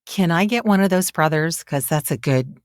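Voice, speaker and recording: A woman's voice: lowish voice, expressive, moderate pace, no room sound, studio quality. A woman hosting a podcast, interviewing in a casual, conversational and encouraging way.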